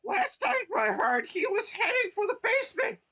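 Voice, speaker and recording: A man voicing an injured, frantic male mushroom retainer who shrieks and yells. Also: sing-song, moderate pace, gravelly, exaggerated voice. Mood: pained, urgent. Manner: shrill, yelling.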